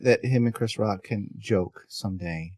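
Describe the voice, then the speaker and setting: A man hosting a casual conversational podcast, with halting, self-correcting phrasing. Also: fairly low pitch, darkish tone, dry recording, studio quality. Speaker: podcast host.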